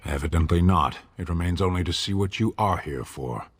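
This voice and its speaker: An actor playing a stern, skeptical warrior in a video game, with a blunt, measured delivery. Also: male voice, low-pitched, breathy edge.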